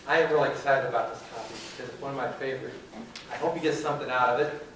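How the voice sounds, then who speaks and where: An older man with a slightly raspy voice opens a class, upbeat and asking the audience questions. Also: mid-range voice, steady tone, racing, fairly bright tone. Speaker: class presenter. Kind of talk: casual class talk. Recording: echoey room, far from the mic, with noise.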